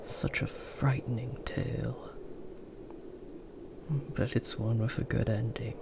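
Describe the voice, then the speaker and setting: Casting: male actor for a big gentle werewolf, protective and soothing, mostly whispered, slow delivery, ASMR roleplay. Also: mid-range voice, flat delivery. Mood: tender, soothing. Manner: hushed, soothing, breathy whispering and murmuring. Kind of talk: comforting ASMR audio roleplay.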